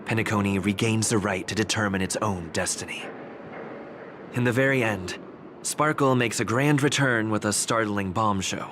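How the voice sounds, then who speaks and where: A man playing a composed storyteller in a game, narrating an epic recap in a measured, formal way. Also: fairly low pitch, noisy background, lightly acted. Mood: measured, dramatic.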